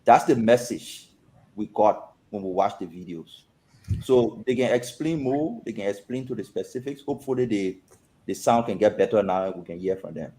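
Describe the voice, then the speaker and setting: A man's voice: lowish voice, expressive, normal speed, dark tone, poor audio. A man giving an explanatory overview as a commentator on a talk show.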